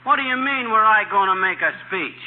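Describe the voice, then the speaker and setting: An actor with an American accent playing a boastful, grumbling small-town husband in an old radio comedy, slangy and indignant. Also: male voice, sits high, lively intonation, a little fast. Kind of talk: 1940s radio comedy acting. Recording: noisy, tinny old radio broadcast.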